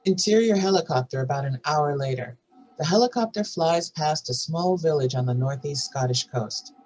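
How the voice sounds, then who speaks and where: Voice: medium pitch, normal speed, a little mellow, a little hiss or hum, poor audio. A narrator reading out stage directions and scene headings in a scripted table read.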